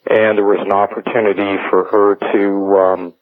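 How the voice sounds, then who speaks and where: A middle-aged man calling a radio show, telling a personal story in a halting, deliberate way with many hesitations. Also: fairly low pitch, flat delivery. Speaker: radio caller. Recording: narrow, tinny phone line on radio.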